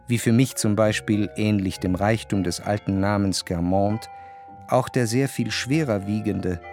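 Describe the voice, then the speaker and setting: A man's voice: on the low side, darkish tone, lightly acted. A man acting an introspective literary narrator over music. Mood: contemplative. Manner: measured, introspective, deliberate phrasing. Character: reflective, grieving male narrator remembering his grandmother. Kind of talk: literary radio drama narration.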